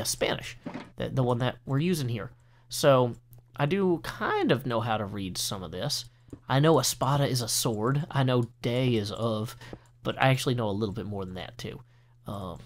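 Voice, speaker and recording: An earnest man from the United States talks over his gameplay in a relaxed, meandering way. He backtracks often, piles on fillers and restarts his sentences. His voice sits at an ordinary middle pitch, recorded close on a desk mic. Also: slight background noise. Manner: earnest, rambling with many fillers and self-corrections. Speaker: let's play host. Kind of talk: casual gameplay commentary.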